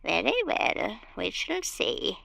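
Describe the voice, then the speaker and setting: An actress playing an imperious, genteel old matriarch in a radio drama, grand, querulous and condescending, with a quavering old voice. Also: female voice, medium pitch, wildly swooping pitch, slow, creaky, bright, forceful tone, no echo, heavily acted.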